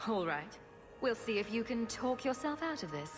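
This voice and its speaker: A woman's voice: on the high side, very animated, normal speed. Self-assured sarcastic hero lady, video game acting, dry, bit of music under it. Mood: wry, firm. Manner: dry, wry. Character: wry adult heroine, caring, sardonic, self-assured.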